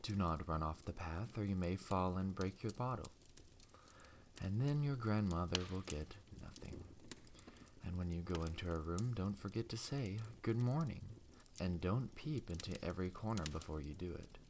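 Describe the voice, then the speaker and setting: A man voicing a caring storybook mother giving instructions in a soft, gently firm manner. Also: low-pitched, lively intonation, normal speed, noisy background. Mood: gently instructing.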